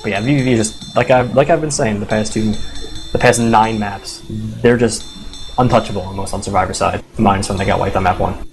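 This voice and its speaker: A hoarse man doing casual live play-by-play commentary on a competitive video game match, excitable and quick to swear. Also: fairly low pitch, music in the background, low-quality recording. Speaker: esports commentator.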